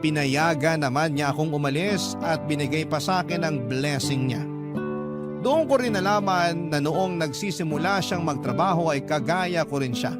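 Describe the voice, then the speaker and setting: A man with a Filipino accent reading a listener's confessional letter as a radio host, in measured, confiding dramatic narration. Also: on the high side. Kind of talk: dramatic first-person story narration. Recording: loud guitar music under the voice. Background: plucked guitar music.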